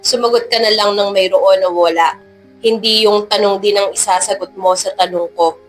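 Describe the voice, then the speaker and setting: A woman's voice: medium pitch, steady tone, moderate pace, close to an everyday voice. Woman voicing menacing man, angry, curt, snapping, storytelling audio, faint music. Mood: curt anger.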